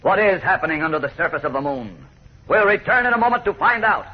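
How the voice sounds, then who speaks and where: American male narrator, higher-pitched, very husky, swooping suspenseful delivery, 1940s radio serial, muffled old recording. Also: very animated, fast. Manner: theatrical, suspenseful.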